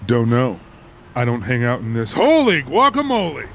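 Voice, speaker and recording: Man playing an exasperated wisecracking buddy, mid-pitched. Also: huge pitch swings, normal speed, slight background noise. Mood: surprised. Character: exasperated, wisecracking everyman sidekick to a killer. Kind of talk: audiobook character acting.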